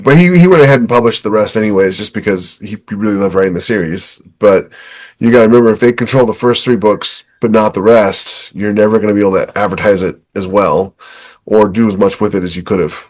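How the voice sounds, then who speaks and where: A man co-hosting a podcast, talking in a casual, anecdotal and somewhat halting way. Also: fairly low pitch. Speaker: podcast co-host and author.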